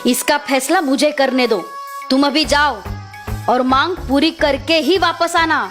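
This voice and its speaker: A woman's voice: sits high, unhurried, a bit bright, soft background music. A woman voicing a greedy, discontented fisherman's wife in a children's cartoon, demanding and melodramatic. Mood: bossy.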